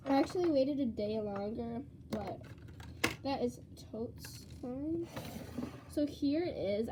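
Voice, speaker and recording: A teenage girl vlogging casually with a stuffy, congested voice from a cold, chatty and excitable. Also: sits high, noisy background. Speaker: slime vlogger. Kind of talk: casual vlog chat.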